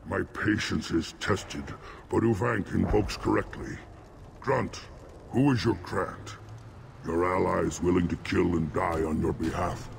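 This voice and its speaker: A man playing an ancient, imperious alien shaman in a video game, solemn and commanding, with a gravelly voice. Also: low-pitched, steady tone, takes it slowly, noisy background, full character voice. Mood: stern, measured. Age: older. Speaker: clan shaman. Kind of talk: video game cutscene acting.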